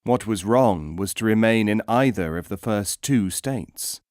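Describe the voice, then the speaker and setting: A man reading teaching stories as an audiobook narrator, in a measured, formal storytelling manner. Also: lowish voice, darkish tone, dry recording, clean studio sound.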